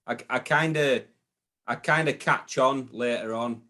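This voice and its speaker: A man speaking as a guest in a relaxed chat. He hesitates often, stacking ums and restarting phrases, at a middling pitch. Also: unhurried. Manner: hesitant, lots of ums and repeated starts. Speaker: guest, booking agent. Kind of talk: casual interview chat.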